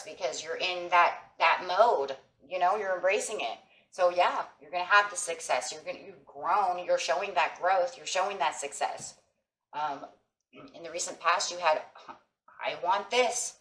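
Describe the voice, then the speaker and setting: A woman with a hoarse voice from a sinus infection, giving a casual tarot reading and speaking encouragingly to viewers as friends. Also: lowish voice, a bit bright, reverberant, a little hiss or hum, low-quality recording. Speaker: tarot reader. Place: table with tarot cloth, indoors.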